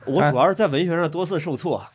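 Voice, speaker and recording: A man's voice: a little high, fast talker. A man co-hosting a casual podcast chat who laughs often and stammers, repeating words. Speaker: podcast co-host.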